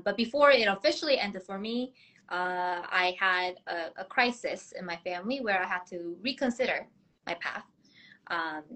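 A woman's voice: mid-range voice, a bit bright. A young woman from South Korea, a dentist, answering interview questions in a candid, bubbly way and laughing often. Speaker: podcast guest, dentist.

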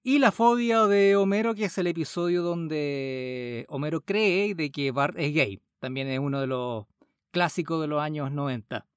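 A man's voice: on the high side, unhurried, smooth, no echo, clean studio sound. A man with a Chilean accent co-hosting a podcast in casual, conversational talk. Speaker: podcast co-host. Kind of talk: casual chat.

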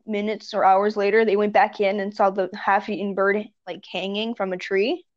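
A teenage girl chatting casually with a friend, giggly and animated as she tells stories. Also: medium pitch, normal speed. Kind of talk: casual chat between friends. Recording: dull lo-fi recording.

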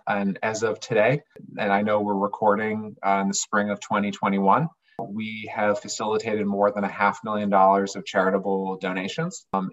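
Man, interview guest, low steady voice, monotone, earnest, muffled lo-fi sound. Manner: earnest, explanatory, occasional restarts. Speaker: business owner, interview guest. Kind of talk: conversational interview.

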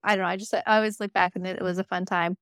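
A woman's voice: medium pitch, little pitch movement, racing, clean tone, no echo, clean studio sound. A woman in her thirties, born in Croatia and raised in America, telling her family story in a casual, chatty way with lots of fillers. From born in Croatia, raised in the United States. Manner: chatty, frequent "like" fillers and laughs. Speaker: podcast guest.

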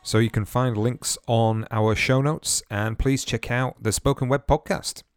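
A man's voice: medium pitch, fairly even intonation, measured pace, smooth. A man hosting a podcast, talking casually and rambling, with frequent restarts and repeated words. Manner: chatty, rambling with many restarts. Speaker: podcast host. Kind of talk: casual solo podcast talk. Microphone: remote-recording podcast setup with headphones.

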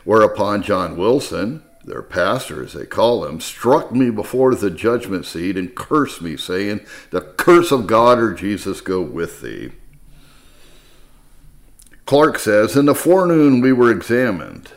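Male narrator, lowish pitch, formal measured read of historical church material, phrases settling downward. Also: very animated. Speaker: narrator and reader of historical readings. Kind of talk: scripted narration, reading church history aloud.

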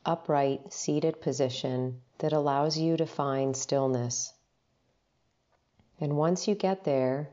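Low, slow, mellow woman guiding meditation. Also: muffled recording. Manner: gentle, unhurried, instructional, with long pauses between short phrases. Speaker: meditation guide. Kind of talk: guided meditation narration.

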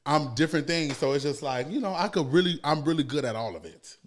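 A man's voice: fairly high pitch, animated, fast. An American man chatting as a podcast guest, telling stories casually and emphatically, repeating phrases for stress and swearing freely. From United States, born in New York, raised in Savannah, Georgia. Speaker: podcast guest, comedian and motivational speaker. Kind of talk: casual interview storytelling.

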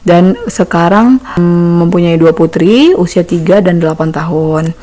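A woman's voice: on the low side, unhurried, mellow. A woman, giving a casual personal testimony. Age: 30s. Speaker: podcast volunteer sharing her views.

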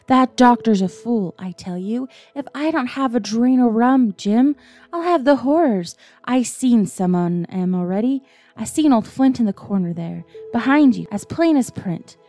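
A woman reading aloud lends her voice to a weak but blustering old sea captain in a bedtime storybook. He pleads for rum and curses doctors in rough sailor's speech, his voice a little higher and louder than the narration. The tone stays mellow, with faint music behind. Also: lively intonation, a little slow, no room sound. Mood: pleading.

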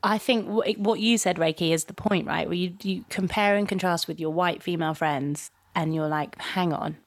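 A woman's voice: lowish voice, fairly even intonation, normal speed, no room sound. A woman with a London accent, an actress speaking frankly and animatedly in a casual discussion.

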